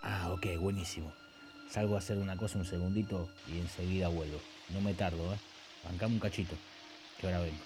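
An actor with an Argentine Rioplatense accent playing an irreverent slacker who bluffs as a film expert, full of chummy, rambling slang in a comedic radio show. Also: male voice, low-pitched, fairly even intonation, a little fast, over music. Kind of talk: comedic radio theatre banter.

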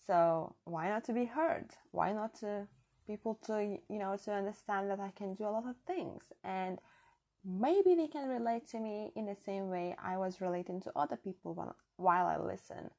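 A woman's voice: mid-range voice, normal speed, darkish tone. A woman from Europe speaking English as a second language with a non-native accent, hosting her own podcast in an earnest, chatty, reflective solo talk on a built-in device mic. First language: not English. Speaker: podcast host. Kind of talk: casual solo podcast monologue. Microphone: built-in device mic, no proper microphone.

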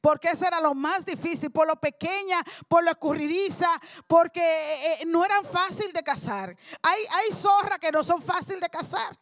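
A woman's voice: very high-pitched, normal speed, few pauses, dry recording. A woman preacher delivering a sermon, emphatic and repeating key words for effect.

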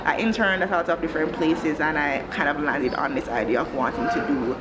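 A woman's voice: low voice, brisk, over loud music. A woman in her thirties from Jamaica, speaking articulately and explaining things in a conversational interview. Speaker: interviewee, country director of an education social enterprise. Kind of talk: conversational interview, telling own career story. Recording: clearly noisy ambient background.

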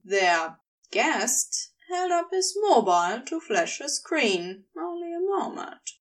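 A slightly distant recording of a woman reading fiction aloud. Her voice is fairly high and very animated, and she narrates expressively as the storyteller. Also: slow. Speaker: podfic reader, narrator.

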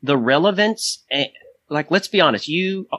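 A man's voice: a little high, expressive, moderate pace, pushed and bright. A middle-aged man in education talking casually on a podcast, opinionated and driving points home with repetition. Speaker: high school educator.

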